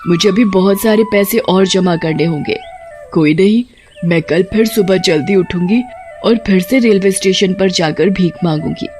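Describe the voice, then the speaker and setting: A woman voicing a witch ghost, gruff but tender underneath, in an animated story. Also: mid-range voice, normal speed, soft background music, close to an everyday voice. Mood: determined. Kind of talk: animated Hindi horror-moral story.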